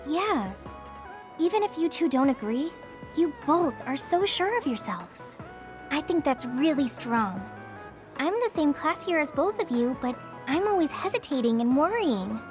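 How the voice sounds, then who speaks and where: Teenage girl soldier, high voice, earnest, cheerful yet self-doubting, video game, music faintly behind. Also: very animated, a little slow. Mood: earnest, bashful. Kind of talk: English video game voice acting.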